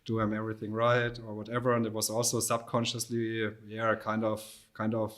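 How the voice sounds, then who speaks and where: A man's voice: on the low side, monotone, a little fast, fairly bright tone. A man chatting casually as a guest, talking loosely and laughing often. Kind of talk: casual chat.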